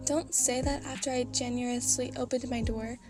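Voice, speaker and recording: A woman voicing a deadpan, aloof young male assassin who speaks in a flat, blank way. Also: on the high side, moderate pace, music in the background, close to an everyday voice. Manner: flat, blank, unbothered delivery.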